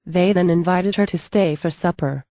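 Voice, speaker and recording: A woman's voice: lowish voice, normal speed, mellow, no room sound. A woman reading scripted narration for a storybook tale.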